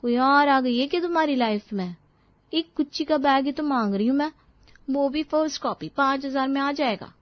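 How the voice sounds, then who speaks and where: A woman voicing a pampered, spirited young daughter-in-law in a story, animated and questioning. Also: high-pitched, moderate pace, no echo, muffled recording, pitched above the performer's own voice, close to an everyday voice. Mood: puzzled, pleading.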